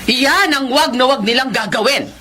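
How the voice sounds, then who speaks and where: An actress playing a spiteful, domineering middle-aged mother with a scolding, sharp-tongued manner in a Filipino radio melodrama. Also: sits high, normal speed. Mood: suspicious, menacing. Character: domineering, spiteful, money-minded mother, quick to rage. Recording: loud ambient noise behind voice.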